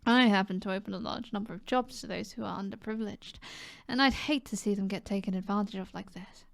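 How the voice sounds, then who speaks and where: A woman with a British accent voicing a seductive, self-assured mob boss in an ASMR roleplay, soft and flirtatious, often dropping to a whisper. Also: medium pitch, gravelly, no echo, studio quality, natural voice. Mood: smug, businesslike. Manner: flirtatious, teasing, unhurried.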